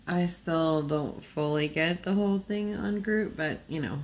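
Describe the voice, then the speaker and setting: A woman's voice: deep voice, measured pace. A woman just woken up, chatting in a rambling, nasal voice with fillers and small laughs while she works on a craft at a table indoors. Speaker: diamond painting vlogger.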